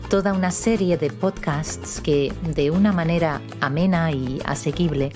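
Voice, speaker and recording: A middle-aged woman from Málaga, a Spanish teacher, hosting a podcast and interviewing a guest with encouraging backchannels. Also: sits low. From Spain, Málaga. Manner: warm encouraging interviewer with frequent ujú backchannels. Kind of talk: scripted intro then interview questions.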